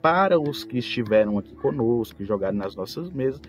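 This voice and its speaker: A Brazilian man hosts a podcast in a conversational, storytelling style. His voice sits at an average male pitch and he recounts things in an animated way. Music plays softly behind him. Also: a little fast. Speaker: podcast host. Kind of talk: casual anecdotal talk about tabletop role-playing. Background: background music.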